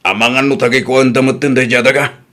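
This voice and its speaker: A man performs a quarrelsome middle-aged uncle in a Filipino comedy radio drama. He is greedy and stubborn, with a mid-pitched voice and an argumentative, scolding delivery. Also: steady tone, fast talker, pushed and bright, close to an everyday voice. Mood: stubborn, grumbling. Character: greedy, quarrelsome uncle feuding over inherited land.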